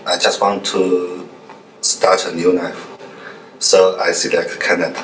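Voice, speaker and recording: A middle-aged man, speaking haltingly in a documentary interview. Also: low-pitched, level tone, fairly bright tone. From China. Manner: halting, simple phrasing. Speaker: immigrant interviewee. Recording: roomy room, distant mic, faint noise.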